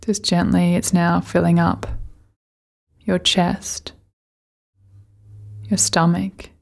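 Low, creaky-voiced woman guiding meditation. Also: little pitch movement. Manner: gentle, soothing, unhurried instruction with pauses. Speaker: meditation guide. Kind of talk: guided meditation.

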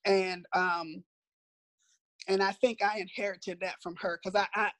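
A middle-aged woman with a raspy voice and a sore throat, talking reflectively with her daughter in a casual family conversation. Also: medium pitch, little pitch movement, a little slow, a bit bright, no room sound. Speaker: mother being interviewed by her daughter.

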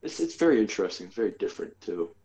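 A man's voice: on the low side, sing-song, racing, crowd noise. A man co-hosting a podcast, talking casually in a rambling way with lots of fillers and hedges. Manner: rambling, filler-heavy, hedging. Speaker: podcast co-host. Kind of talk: casual chat.

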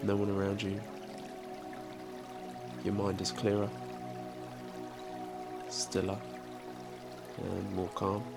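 A man with a head cold, nasal and bunged up, calmly guiding a breathing meditation and counting breaths. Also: low voice, vocal fry. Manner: calm, unhurried counting and instruction. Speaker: meditation guide and podcast host. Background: soft background music.

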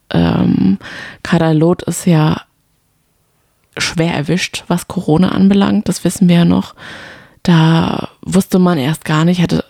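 Mellow woman with a creaky voice. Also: lowish voice, no room sound, clean studio sound. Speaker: podcast co-host. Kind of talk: casual chat about reality TV.

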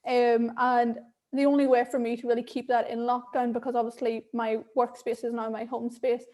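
A woman's voice: a little high, little pitch movement, normal speed, darkish tone. A woman with an Irish accent, a guest speaking candidly on a video call, laughing often and repeating words as she thinks. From Ireland. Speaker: interview guest. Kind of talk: casual video-call conversation.

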